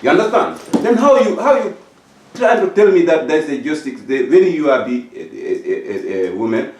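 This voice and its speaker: Older man with West African English, halting. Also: fairly high pitch, expressive, darkish tone, recorded from a distance. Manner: earnest, halting with many repeated fillers. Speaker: discussion participant. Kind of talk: casual group discussion. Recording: echoey room, speaking from off the mic.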